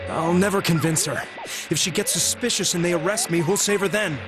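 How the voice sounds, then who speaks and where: An actor playing an earnest, guilt-ridden delivery man in a video game, speaking in a halting, solemn, confessional way. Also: high-pitched, natural voice, rough recording. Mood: anguished, determined. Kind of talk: dramatic video game voice acting. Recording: music behind the voice.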